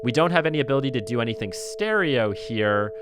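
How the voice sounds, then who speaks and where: A man narrating a casual music software tutorial as an instructor, explaining things conversationally and thinking aloud as he goes. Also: medium pitch, animated, quick pace, background noise.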